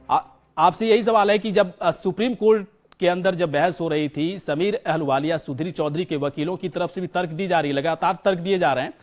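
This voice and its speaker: High-pitched male news anchor, emphatic delivery. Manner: emphatic, repeats words. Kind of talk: live breaking news anchoring.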